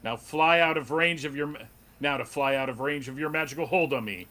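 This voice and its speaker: A man's voice: fairly high pitch, a little fast, bright, forceful tone. A man playing an earnest, noble superhero in a melodramatic comic book table read. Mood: taunting, defiant.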